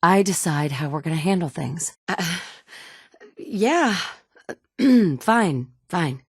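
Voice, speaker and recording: A woman's voice: lowish voice, lively intonation, slow pace, breathy edge, no room sound, studio quality, exaggerated voice. An actress voicing a blunt, wry woman survivor in a video game, plain-spoken and direct, by turns tender and curt. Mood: even. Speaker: player character. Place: recording studio.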